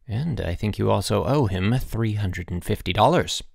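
A man's voice: fairly low pitch, lively intonation, gravelly, darkish tone, husky, no room sound, clean studio sound, exaggerated voice. A man voicing a stern, sarcastic headmaster who scolds in snapping, emphatic phrases for an audiobook. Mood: stern scolding. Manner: snapping, clipped commands, drawn-out emphasis.

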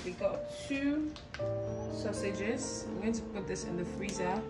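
A Nigerian woman talks casually in her home kitchen, stopping now and then to recall prices. Her voice sits in a middle pitch. Loud background music runs under her words. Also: expressive, a little slow. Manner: chatty, hesitant, stops to recall prices. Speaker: cooking vlogger. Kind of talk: casual on-camera chat.

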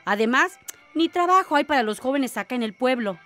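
High-voiced, showy, persuasive aunt. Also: female voice, lively intonation, fairly quick, pushed and bright, soft background music. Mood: boastful. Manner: boastful, persuasive. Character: showy, persuasive aunt back from working abroad. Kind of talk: radio drama acting.

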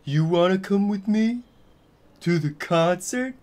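Melodramatic goth teen boy, acted by a man: highish, swooping pitch, slow theatrical delivery, angry comedic fan-fiction read. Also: lively intonation, a little mellow. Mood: sulky.

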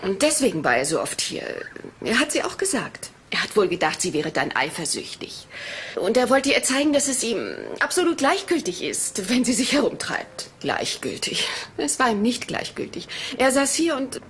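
Defensive, easily rattled woman being questioned in a radio mystery; animated delivery, slight background noise. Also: fairly high pitch, moderate pace. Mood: bitter, subdued. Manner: defensive, evasive, bitter. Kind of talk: German crime radio drama acting.

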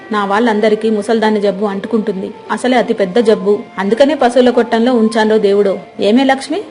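Lady doing a dramatic scolding mother-in-law for a village story, accusing and scheming, mellow tone, bit of music behind. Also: a little high, close to an everyday voice. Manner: wailing, accusing, dramatic exclamations. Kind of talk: Telugu moral storybook dramatization.

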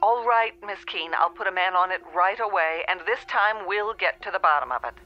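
A woman's voice: mid-range voice, brisk, faint music behind. Phone-filtered, polite female company clerk. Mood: reassuring. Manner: reassuring, businesslike. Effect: telephone filter. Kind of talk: radio drama acting. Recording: muffled telephone-line sound.

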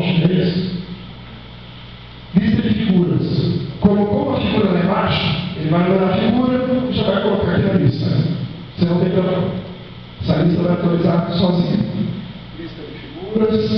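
A Brazilian man with a fairly high voice gives a live talk, walking the audience through software on screen. He speaks conversationally and keeps checking in with quick rhetorical questions. The sound is a distant, dull microphone in a very echoey hall with a long reverb tail. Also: slight background noise. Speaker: conference speaker. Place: large lecture hall indoors.

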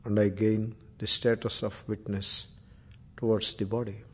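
A man with an Indian accent leading a guided meditation as a spiritual teacher, speaking deliberately in short phrases with Sanskrit terms and chants. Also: lowish voice, level tone, breathy edge. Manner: slow, deliberate, long pauses between short phrases.

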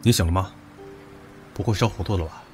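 An actor playing a brash, rude young heir who speaks in a brusque, commanding way. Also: male voice, low voice, brisk, lightly acted. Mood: bossy. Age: young adult. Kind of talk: radio drama acting. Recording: background music.

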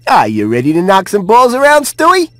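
A man's voice: sits high, huge pitch swings, fairly quick, bright, forceful tone, dry recording, soft background music, exaggerated voice. A man voicing a jolly, boastful golfing mouse in a video game, with a hearty, showy manner. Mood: eager. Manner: showy, self-satisfied, hearty. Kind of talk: video game cutscene acting.

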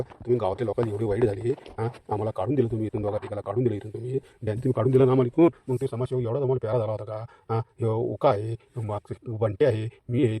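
Man as an accusing villager, very dark voice, dry sound. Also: mid-range voice, dry recording, close to an everyday voice. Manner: fast blaming tirade.

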